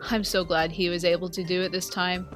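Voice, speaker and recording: Woman acting as veteran vampire hunter lady, lowish voice, chatty reassuring mentor type, fantasy roleplay audio w faint music. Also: level tone, brisk, natural voice. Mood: explaining, calm. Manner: conversational, explanatory, playful teasing. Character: seasoned female vampire hunter, friendly, teasing, reassuring mentor. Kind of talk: scripted fantasy audio roleplay addressed to the listener.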